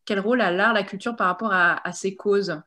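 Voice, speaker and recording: A woman's voice: mid-range voice, fairly even intonation, fairly quick, pushed and bright. A woman journalist moderating an online panel discussion, friendly and reading out audience questions from the chat.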